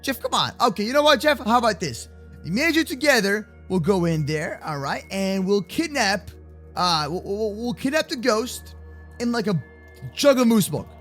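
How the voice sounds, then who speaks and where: A young adult man improvising a children's block-game roleplay with excitable, exaggerated, slangy banter. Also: high voice, moderate pace, soft background music. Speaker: host of kids' gaming roleplay channel.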